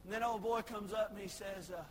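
A young man preaching a sermon, swinging from shouted exhortation to soft, folksy asides. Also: sits high, steady tone, fairly quick, background noise. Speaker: pastor.

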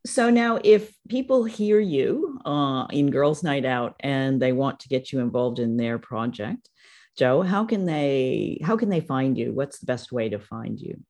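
A woman's voice: extremely low pitch, animated, unhurried, darkish tone. Effusive woman podcast host. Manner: effusive and full of praise. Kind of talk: casual interview.

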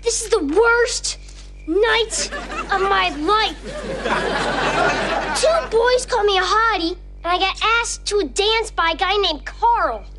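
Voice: extremely high pitch, takes it slowly, over music, crowd noise, full character voice. A young-sounding performer playing a grumbling, mortified kid with sardonic sitcom delivery. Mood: miserable. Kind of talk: sitcom acting before an audience. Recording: noisy audience behind sitcom dialogue.

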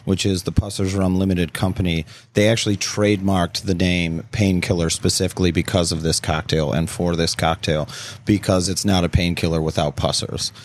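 A man with a fairly low voice and an American accent chats as a guest on a talk show. He explains things informally and easily, like a bartender walking people through it, adding casual asides. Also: level tone, normal speed.

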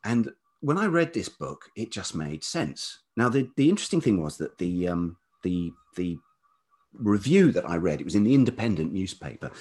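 A British man in middle age speaks in a very deep, velvety voice with a husky grain. His interview talk is reflective and halting, wandering a little as he searches for the exact phrase. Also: sing-song, darkish tone. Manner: halting, digressive, thoughtful. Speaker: podcast guest, writer and radio producer.

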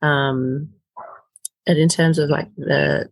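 A woman speaks in a husky voice with a gravelly edge. She talks in a halting, reflective way, filling gaps with soft pauses. The sound is close and dry with no room around it. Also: low voice, steady tone, dry recording. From Australia. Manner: thoughtful, halting, with many filler pauses. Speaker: actor interviewed as a guest. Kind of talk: casual reflective interview talk.

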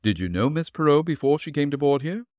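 A man's voice: on the high side, animated, darkish tone, no echo, voice pushed up, close to an everyday voice. A man reading as a dry, shrewd detective. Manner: measured, dry questioning. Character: calm, shrewd male detective, adult.

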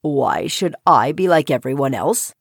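A woman voicing a calm, cheerful male talking frog with an unhurried manner in a children's story. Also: deep voice, slightly rough, dry recording, studio quality, voice pushed down, full character voice. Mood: content.

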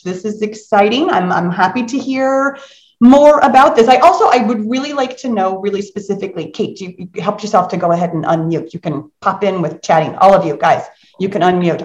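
A woman hosting a casual live book discussion, talking in an animated, conversational way and inviting the group to join in. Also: mid-range voice. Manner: animated, conversational, encouraging audience participation. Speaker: book club host. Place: indoor room on a group video call. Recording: roomy echo.

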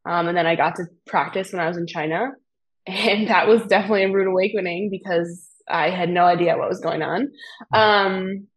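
A young woman with an American accent and a noticeably husky voice that sits a little low. She speaks casually and explains things in a chatty, storytelling way, as a guest in a relaxed conversation. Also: brisk. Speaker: podcast guest, fitness coach.

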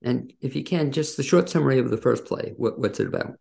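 A man hosting a casual interview, asking questions in a halting, backtracking way. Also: medium pitch, animated, gravelly, dark tone. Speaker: interviewer and host.

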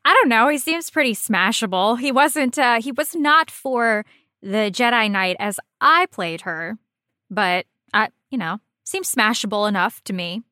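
Bright-voiced woman, joking podcast co-host. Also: a little high, lively intonation, a little slow, no room sound, clean studio sound. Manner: joking, swears casually. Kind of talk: casual chat, teasing banter.

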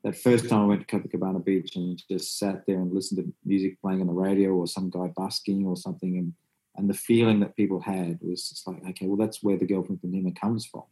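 A man talks about music in an interview in an eager, loose, rambling way, with plenty of "you know" and "and stuff." His voice is very deep and warm. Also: flat delivery, quick pace, rough recording. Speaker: musician in a band, guest. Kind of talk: conversational interview.

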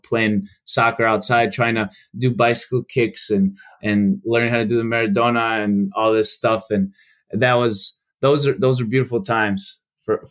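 Halting young man from Chicago. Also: fairly low pitch. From United States. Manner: halting, many restarts and fillers. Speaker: guest. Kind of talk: casual podcast interview.

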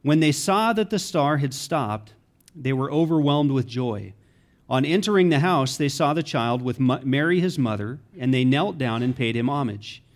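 A man's voice: mid-range voice, expressive, moderate pace. A man reading a Bible narrative aloud from the text as a volunteer reader.

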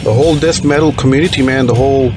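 A man's voice: medium pitch, fairly even intonation, quick pace, music in the background. A man hosting an interview, reading out fan questions and praising his guest with a fan's enthusiasm.

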